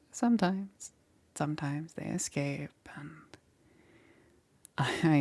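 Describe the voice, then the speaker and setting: Woman playing a slow, husky whispering witch. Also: low voice, full character voice. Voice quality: breathy, husky, often whispering. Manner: slow, hesitant, softly cooing. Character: soft-spoken witch, coaxing, quietly menacing necromancer. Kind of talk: ASMR roleplay.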